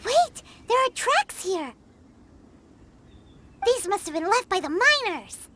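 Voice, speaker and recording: A woman voicing a tiny, excitable, childlike fairy companion in an anime-style video game. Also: extremely high pitch, slow pace, background noise, full character voice. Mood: eager. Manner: excitable, refers to self in third person.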